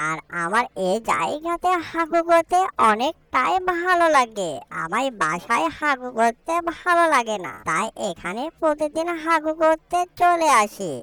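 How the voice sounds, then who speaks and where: A cheeky little village boy from a cartoon, acted by a woman, with a high, childlike voice. The intonation swings about in a stubborn, animated way, and the sound is dry. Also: no room sound. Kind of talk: cartoon voice acting.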